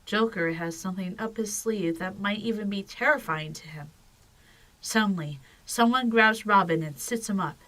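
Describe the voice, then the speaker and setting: Lady narrator for an audio drama, mid pitch, reading a scripted story. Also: moderate pace, fairly bright tone, poor audio.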